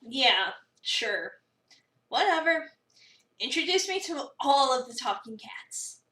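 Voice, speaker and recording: A woman performs an overwhelmed young cafe worker telling a visual novel story with sarcastic, dramatic disbelief. The voice is high and swings widely, picked up from slightly away in a roomy space. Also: sing-song, takes it slowly, bright, forceful tone, exaggerated voice. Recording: roomy, slightly distant mic.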